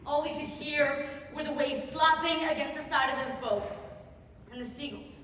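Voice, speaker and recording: Distraught young refugee survivor played by a woman, high-voiced and halting, stage monologue in a big echoing hall. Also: fairly even intonation, a bit bright. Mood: haunted, subdued. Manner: halting, emotive, with heavy pauses and repetitions. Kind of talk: dramatic theatrical monologue. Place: large reverberant hall or stage. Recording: very echoey hall, distant mic, noisy.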